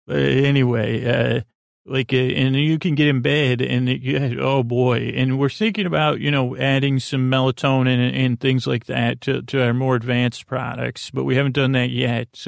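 A man rambles through a sleep-podcast monologue, losing his thread and starting over again and again. His voice has a gravelly rasp. It sounds dry and close, with no room around it. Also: no room sound. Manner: meandering, halting, full of fillers and restarts; deadpan mock product pitch.

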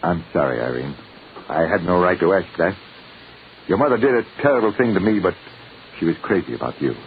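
A man's voice: sits low, lively intonation, a little fast. An actor playing a wounded, suspicious middle-aged father in a 1940s radio drama. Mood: brooding, regretful. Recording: tinny, hissy old radio recording.

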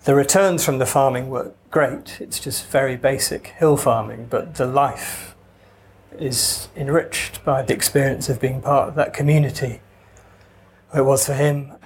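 A man's voice: medium pitch, steady tone, a little slow, a little hiss or hum. A man from Wales with no Welsh accent, an author talking casually in an interview, wry and digressive with hesitant restarts. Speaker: author guest.

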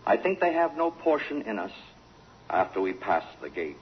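A man's voice: lowish voice, sing-song, normal speed. An actor playing an eloquent, cultured man reciting solemn, florid reflections in a 1950s radio drama. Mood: solemn, wistful. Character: eloquent, cultured man musing gravely on love. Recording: muffled, faintly noisy 1950s radio.

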